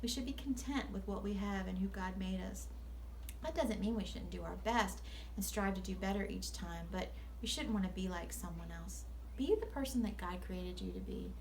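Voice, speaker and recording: A woman's voice: mid-range voice, moderate pace, a little mellow, slight background noise. A woman teacher speaking to children at story time in an earnest, instructive way.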